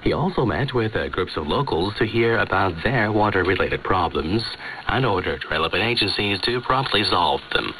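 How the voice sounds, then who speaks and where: A man's voice: sits low, expressive, normal speed, bright, forceful tone, a little hiss or hum, low-quality recording. A man reading scripted news as a radio presenter on a shortwave broadcast. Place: radio studio, heard over shortwave.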